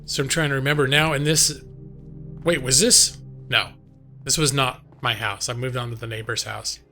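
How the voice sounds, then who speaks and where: A slightly hoarse man streaming a game live, chatting casually and ramblingly to his viewers. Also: medium pitch, steady tone, moderate pace, pushed and bright, faint music behind. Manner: rambling, conversational, addresses chat directly. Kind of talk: casual chat with viewers while gaming. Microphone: close microphone on a boom arm.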